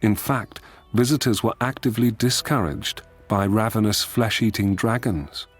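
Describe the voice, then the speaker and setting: A man's voice: on the low side, normal speed, breathy edge, faint music behind. A man delivering wry, scripted comic narration like entries from a guidebook.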